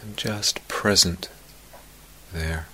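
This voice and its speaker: Low, very slow male meditation guide. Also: fairly even intonation, husky, rough recording. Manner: gentle, soft-spoken, with long pauses and phrases trailing toward a whisper. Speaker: meditation teacher.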